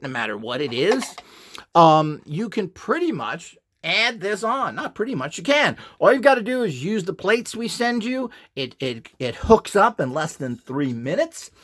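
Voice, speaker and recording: Male presenter, animated swooping intonation, slightly high voice, blunt and opinionated, rambling informal explainer. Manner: opinionated, blunt, scornful of rivals. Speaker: presenter selling upgrade equipment. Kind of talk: informal instructional monologue.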